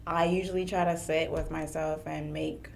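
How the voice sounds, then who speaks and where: A young woman whose first language is French, a podcast guest chatting casually and thinking aloud with pauses. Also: low-pitched, level tone, vocal fry, a little hiss or hum. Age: young adult. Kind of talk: casual chat.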